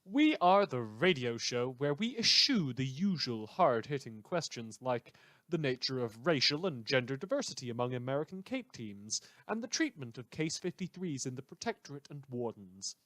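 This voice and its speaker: A man's voice: mid-range voice, very animated, normal speed, fairly bright tone, no echo. A man playing a sardonic, irreverent radio news host in a satirical audio drama, rambling wryly with theatrical emphasis and hesitant asides. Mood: wry, mocking.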